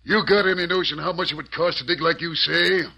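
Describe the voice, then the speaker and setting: An American actor playing a curt, domineering, ruthless mine boss in an old radio Western. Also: male voice, on the high side, steady tone, fairly quick, creaky, sharp tone, exaggerated voice. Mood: scornful. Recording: muffled, noisy vintage radio recording.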